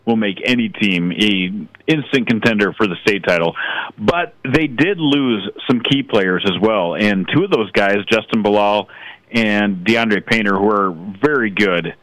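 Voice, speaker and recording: Low-voiced male sports analyst, dry sound. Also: a bit bright, dry recording, low-quality recording. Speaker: sports broadcaster guest.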